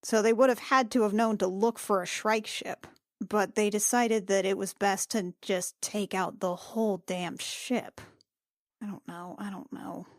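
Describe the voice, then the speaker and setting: A woman's voice: medium pitch, steady tone, a little slow, dry recording, clean studio sound, natural voice. A woman playing a shaken, questioning female adventurer who speaks bluntly in a tabletop game. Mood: worried, reasoning.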